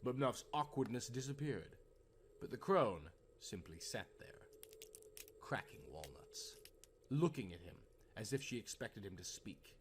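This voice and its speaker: Guy reading a tale dramatically, very expressive pitch, faint music behind him. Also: measured pace, slight background noise. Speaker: storyteller, narrator. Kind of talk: dramatic scripted story narration.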